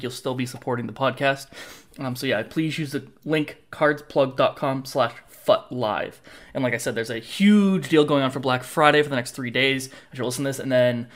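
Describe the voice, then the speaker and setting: A man's voice: medium pitch, fairly bright tone. Easygoing young male podcast host. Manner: easygoing, filler-heavy. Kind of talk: casual podcast chat with read sponsor plug.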